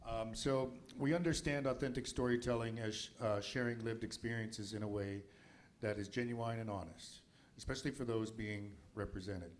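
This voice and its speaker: A man's voice: mid-range voice, a little mellow, slight background noise. A man from Nova Scotia moderating a film festival panel in a loose, chatty way with many fillers. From Nova Scotia, Canada.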